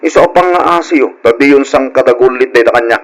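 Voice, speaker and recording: A man's voice: medium pitch, brisk, close to an everyday voice, low-quality recording. A man playing a stubborn, quarrelsome young husband in old-style radio drama, defiant and argumentative in delivery.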